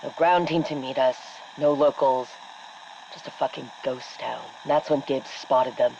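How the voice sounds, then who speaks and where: A woman playing a shaken, sardonic army helicopter captain, giving a halting, bitter debrief for a game audio log. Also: a bass voice, fairly even intonation, creaky, darkish tone, lo-fi sound, a little hiss or hum. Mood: weary, sardonic. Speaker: military helicopter exfil pilot.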